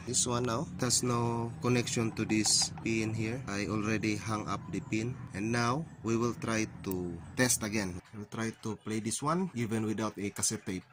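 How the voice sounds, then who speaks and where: A man narrating a hands-on repair tutorial, explaining plainly step by step with non-native phrasing. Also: lowish voice. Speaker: repair channel host. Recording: clearly noisy recording with ambient hiss.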